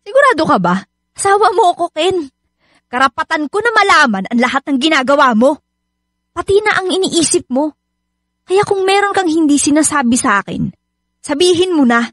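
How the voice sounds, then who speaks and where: A woman's voice: high voice, animated, moderate pace, pauses often, a bit bright, dry recording, studio quality. A Filipino-accented woman acting in a radio drama as a hurt, suspicious young wife and mother who keeps insisting. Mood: confrontational, hurt.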